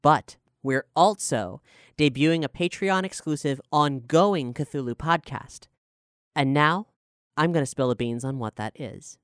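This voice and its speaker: A man's voice: a little high, measured pace, clean tone, dry recording, clean studio sound. A man hosting a podcast, reading out announcements and promotional news to listeners. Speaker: podcast host and showrunner. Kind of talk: scripted announcement, promotional talk.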